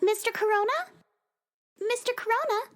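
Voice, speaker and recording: Sweet kid girl in a radio play, really high voice, earnest, bit stammery. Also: moderate pace. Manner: earnest, stammers when nervous. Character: young girl space explorer, friendly and polite. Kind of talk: radio drama acting.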